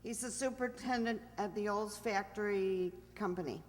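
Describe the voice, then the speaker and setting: A woman's voice: medium pitch, normal speed, natural voice. A woman playing a cheerful, self-assured married mind reader as a matter-of-fact contestant on an old-time radio quiz. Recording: long hall reverb.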